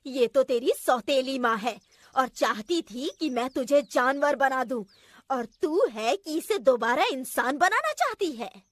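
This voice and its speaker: Wicked cartoon witch, acted by a woman, high voice, slow delivery, menacing, taunting, scheming. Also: expressive, no room sound, clean studio sound. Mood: mocking. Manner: taunting, cackling. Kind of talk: children's cartoon story acting.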